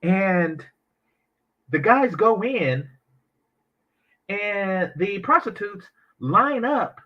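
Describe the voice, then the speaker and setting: A man hosting a reaction commentary, talking in an animated, sarcastic way with mocking asides. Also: high-pitched, very slow, highs cut off. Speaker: commentary channel host.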